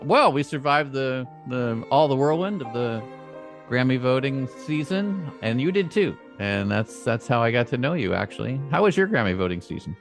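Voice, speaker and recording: A man hosting a podcast interview, relaxed and encouraging, chatting easily with his guest. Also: mid-range voice, moderate pace, vocal fry. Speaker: podcast host. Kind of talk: conversational interview. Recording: dry close sound, faint music behind.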